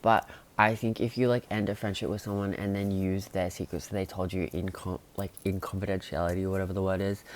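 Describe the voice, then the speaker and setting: A young man chatting casually to camera in a rambling vlog, full of fillers and sudden excited jumps in pitch. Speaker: vlogger.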